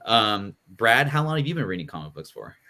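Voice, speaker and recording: A man with a fairly low voice hosts a casual live comics panel. He rambles a little, restarting sentences and filling pauses as he moves from guest to guest. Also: big pitch swings, background noise. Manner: loose, rambling, many restarts and fillers. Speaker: podcast host.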